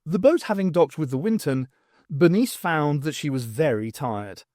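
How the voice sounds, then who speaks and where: A man reading fiction aloud as an audiobook narrator, in an expressive storytelling style. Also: fairly high pitch, normal speed, dry recording, studio quality.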